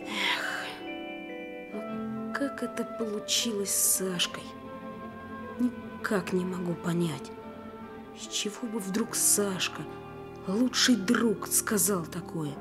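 Slow-spoken, troubled young boy, acted out. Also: medium pitch, music in the background, natural voice. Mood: troubled, questioning. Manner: brooding, questioning. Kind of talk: Soviet radio drama acting.

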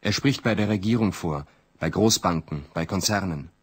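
A man's voice: sits low, normal speed, slightly rough. A man narrating a plot summary in a plain, matter-of-fact way.

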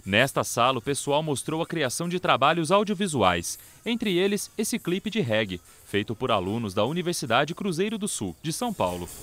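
A man's voice: on the high side, very animated, normal speed, few pauses, a bit bright. A man with a Brazilian accent reading scripted news narration as a TV reporter.

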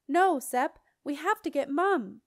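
A woman voicing a stubborn, hot-tempered girl who shouts with defiance in an audiobook story. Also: high-pitched, animated, takes it slowly, dry recording, studio quality, pitched above the performer's own voice, full character voice. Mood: defiant, yelling. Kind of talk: audiobook character dialogue.

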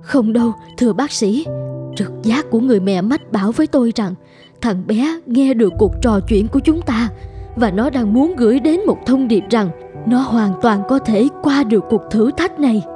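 A devoted cartoon mother played by a woman, earnest and full of feeling. The voice is fairly high yet soft-edged and mellow, with music playing behind it. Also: animated, moderate pace, natural voice. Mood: resolute. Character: devoted, emotional mother of a young boy. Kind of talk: Vietnamese cartoon voice acting.

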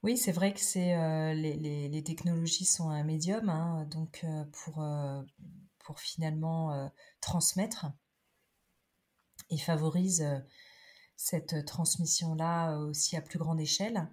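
A woman's voice: sits low, steady tone, unhurried, darkish tone. A middle-aged woman giving a conversational interview as a podcast guest, thoughtful, with many hesitations and restarts. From France.

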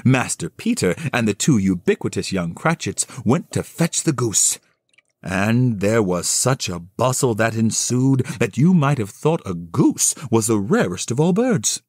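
A man giving theatrical storybook narration, expressive and dramatic with measured phrasing. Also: mid-range voice, no echo, clean studio sound. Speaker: narrator and solo voice actor.

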